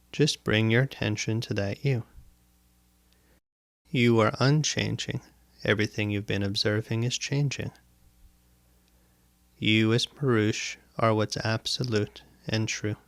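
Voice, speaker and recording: A man guiding a meditation as a teacher, speaking in deliberate, instructive phrases with pauses and repetition, close to the microphone. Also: fairly low pitch, fairly even intonation, fairly bright tone, no room sound. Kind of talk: guided meditation, spoken instruction. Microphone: close microphone, dry sound.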